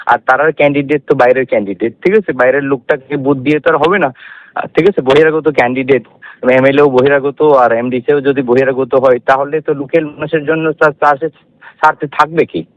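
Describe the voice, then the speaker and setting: A man's voice: medium pitch, fairly even intonation, fairly quick. Dull-sounding phone line, Tripura Bengali man. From Tripura, India. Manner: mixes English phrases into Bengali. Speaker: election candidate being interviewed. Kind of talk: phone interview answers. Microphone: telephone.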